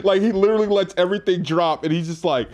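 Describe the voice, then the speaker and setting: A man's voice: sits high, fast talker, darkish tone. A man chatting casually as a co-host on a sports podcast. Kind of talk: casual chat.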